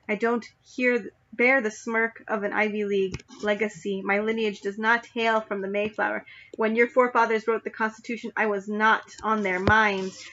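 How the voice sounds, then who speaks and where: Brief: young woman poet, flat steady delivery, deliberate, slightly muffled lo-fi recording. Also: mid-range voice. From United States. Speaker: spoken word poet. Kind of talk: spoken word poetry reading. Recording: dull lo-fi recording.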